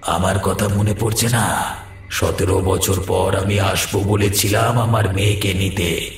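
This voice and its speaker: Slow, echoing, deep jinn acted by a man. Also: steady tone. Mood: menacing, commanding. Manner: slow, commanding, ominous. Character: menacing male jinn demanding back his long-lost daughter. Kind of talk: dubbed horror cartoon dialogue. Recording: echoing, with music underneath.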